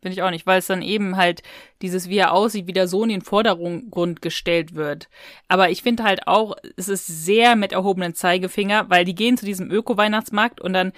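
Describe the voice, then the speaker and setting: Female podcast co-host, casual discussion style, average pitch, very dry sound. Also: steady tone, fairly quick, no echo.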